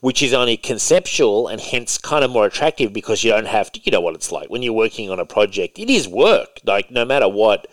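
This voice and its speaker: A man co-hosts a casual podcast in a nasal voice that sits on the lower side. He rambles freely, piling up thoughts and asides without pausing to wrap up. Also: big pitch swings. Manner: rambling, talks on and on. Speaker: podcast co-host. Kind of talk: casual chat.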